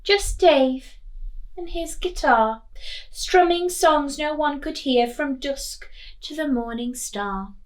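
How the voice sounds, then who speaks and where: A woman reading a picture book to children as a teacher, deliberate and expressive, putting questions to her young listeners. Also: high-pitched, low-quality recording.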